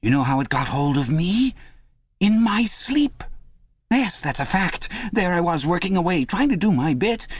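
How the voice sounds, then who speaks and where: A man voicing a frightened, servile middle-aged man who pleads in a high, nearly blubbering voice. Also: very animated, moderate pace, husky, no room sound, exaggerated voice. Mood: confiding. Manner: fawning, jittery, self-justifying.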